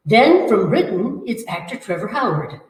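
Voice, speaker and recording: A woman's voice: medium pitch, very animated, normal speed. Echoey female radio announcer. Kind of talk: scripted old-time radio promo. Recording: echoey room, distant mic.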